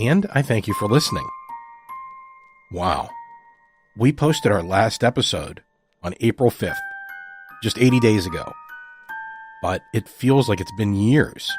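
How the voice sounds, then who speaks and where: A man speaking as a podcast host, giving a measured, sincere scripted introduction to listeners. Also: lowish voice. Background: music.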